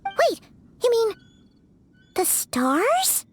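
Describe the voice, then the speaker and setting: A woman voicing a tiny, childlike fairy companion in a video game, excitable, cheeky and squeaky, with an exclamatory manner. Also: very slow, heavily acted. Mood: anxious. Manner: squeaky, exclamatory, refers to herself in third person. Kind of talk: English video game voice acting. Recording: music behind the voice.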